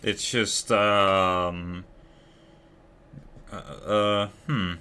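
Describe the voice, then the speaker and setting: Very deep-voiced woman, casual streamer. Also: fairly even intonation, drawn-out words, pushed and bright, clear, resonant tone. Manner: laid-back, joking, swears casually. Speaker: livestreamer. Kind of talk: casual game commentary.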